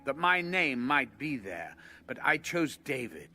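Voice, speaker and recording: A man's voice: medium pitch, sing-song, measured pace. An actor playing a reverent king in an audio Bible drama, addressing his people in a stately, declamatory way. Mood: solemn, proclaiming. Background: music.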